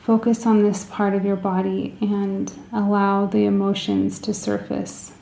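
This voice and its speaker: A woman leading a guided meditation in a soothing, gentle way, with deliberate phrasing and pauses, in a roomy, echoing recording. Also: medium pitch, little pitch movement, creaky.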